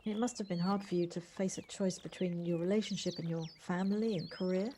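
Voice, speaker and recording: An actress playing a gentle, sympathetic visitor who listens and asks tentative questions in an audio play. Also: female voice, on the low side, fairly even intonation, fairly quick, close to an everyday voice. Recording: noisy home recording on personal device.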